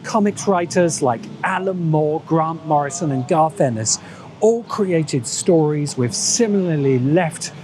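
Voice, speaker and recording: A man's voice: on the high side, a little mellow, slight background noise, low-quality recording. A man delivering scripted, opinionated video-essay narration in a sardonic, emphatic manner. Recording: music bed under the voice. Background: background music.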